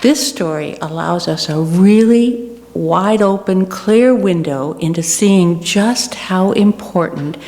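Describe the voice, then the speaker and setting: A woman with a slightly low voice delivers a sermon at a very unhurried pace. She sounds earnest and deliberate. The recording has the long echo of a hall and noticeable background noise. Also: darkish tone. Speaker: guest speaker giving a church reflection. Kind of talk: personal sermon reflection to a congregation.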